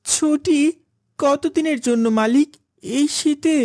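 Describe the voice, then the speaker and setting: A young cartoon boy with a high, earnest voice. The delivery is childlike and eager, as in an animated moral tale, and the sound is close and dry. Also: slow pace, dry recording. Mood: polite. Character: young boys: spoiled eager son and gentle poor elder brother. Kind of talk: animated Islamic moral story voice acting.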